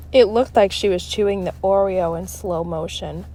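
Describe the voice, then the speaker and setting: A woman reading a children's book aloud in an expressive, emphatic storytelling style. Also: medium pitch, normal speed, slight background noise. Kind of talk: read-aloud story narration.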